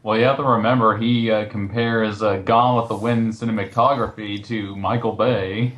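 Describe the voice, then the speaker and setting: A man's voice: fairly low pitch, steady tone, moderate pace, a bit bright. A man who reviews online, hosting a casual film chat over a voice call. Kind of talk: casual chat about films. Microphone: voice call on a computer. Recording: faintly noisy internet voice call.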